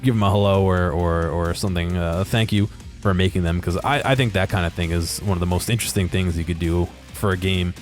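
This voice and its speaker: A man with a low voice talks through game footage in a casual, unscripted way. He is hesitant and understated, rambling a little as he goes. Music plays behind his commentary. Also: steady tone, brisk. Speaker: video creator commentating on game exploration.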